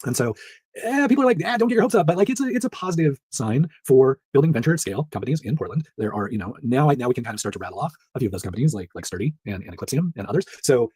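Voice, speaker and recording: A man hosting a solo startup news recap in a chatty, upbeat way, his voice rough, nasal and stuffed up from allergies. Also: medium pitch, racing, no room sound. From Pacific Northwest. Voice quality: nasal, monotone. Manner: upbeat, chatty, laughs between items.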